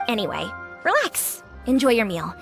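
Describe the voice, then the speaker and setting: A woman's voice: fairly high pitch, huge pitch swings, normal speed. A woman playing a friendly, candid restaurant keeper in a video game, talking in a chatty, confiding way. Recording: background music.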